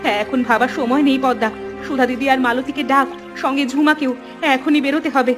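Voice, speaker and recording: A determined village daughter-in-law from a cartoon, played by a woman, with a high-pitched voice. She speaks earnestly and firmly, like someone done with being mistreated. Faint music plays behind her. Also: fairly even intonation, a little fast, lightly acted. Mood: firm reassurance. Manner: earnest, resolute. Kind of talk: cartoon voice acting.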